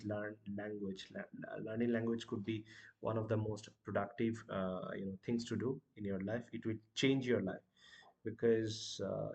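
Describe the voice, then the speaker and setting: A young man from Bangladesh with a Bangladeshi accent, a native Bangla speaker, giving casual advice in a vlog, full of hesitations and "you know" fillers as he corrects himself mid-sentence. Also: mid-range voice. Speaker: language-learning vlogger.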